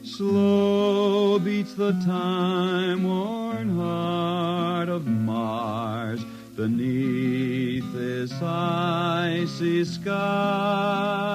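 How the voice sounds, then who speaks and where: An actor playing a blind, folksy wandering spaceman poet with a Southern hill accent, halting and dreamy, in a 1950s radio drama. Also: sits high. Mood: solemn singing. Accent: Southern US hill country. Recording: vintage 1950s radio with music underneath.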